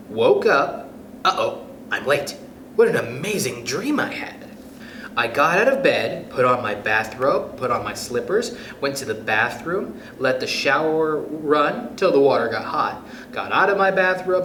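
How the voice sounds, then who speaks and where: Expressive man in an echoey room. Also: a little high. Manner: expressive, addresses young listeners directly. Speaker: children's storytime host. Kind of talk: picture book read-aloud for children. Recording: roomy echo with faint background noise.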